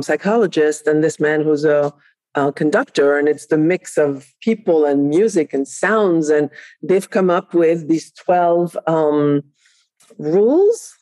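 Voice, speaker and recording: A middle-aged woman with a French accent, chatting lively as a podcast guest and laughing often. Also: low-pitched, no room sound. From France, raised in Spain. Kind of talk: casual interview chat.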